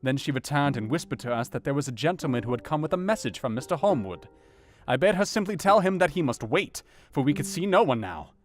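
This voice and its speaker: An actor playing an earnest doctor, narrating a dramatised audiobook in a measured, formal and dramatic way. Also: male voice, on the high side, big pitch swings, soft background music. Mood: strained. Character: earnest male doctor recounting a grim night.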